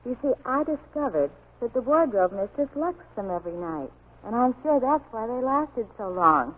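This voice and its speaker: A woman's voice: on the high side, animated, moderate pace, husky. A young woman as an interview guest giving a scripted testimonial on 1940s radio. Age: young adult. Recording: tinny, lo-fi 1940s radio recording.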